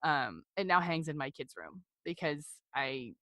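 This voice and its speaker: Female podcast guest, forties. Low pitch, dry recording, candid and reflective conversational delivery. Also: big pitch swings, measured pace, pushed and bright, no room sound. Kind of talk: candid personal interview conversation.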